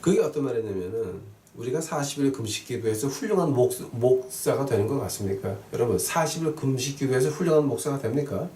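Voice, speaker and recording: A man's voice: mid-range voice, expressive, fast talker, hardly any pauses, warm tone. A man preaching as a pastor, emphatic, repeating key phrases and putting questions to his listeners. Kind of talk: sermon with scripture readings and rhetorical questions. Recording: roomy echo, distant mic, faint noise.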